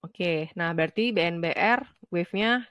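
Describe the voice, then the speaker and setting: Nasal, congested woman, slightly low voice. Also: normal speed, fairly bright tone, dry recording. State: has a cold. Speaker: stock trading instructor. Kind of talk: informal online trading tutorial, explaining charts. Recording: dull lo-fi close dry audio.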